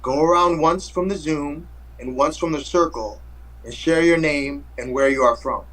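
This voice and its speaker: A man's voice: on the high side, normal speed, a bit bright, rough recording. A man opens a hybrid workshop with a casual, upbeat greeting and then explains the next steps. His voice sits a little above average for a man. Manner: upbeat, casual, giving instructions. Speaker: workshop facilitator.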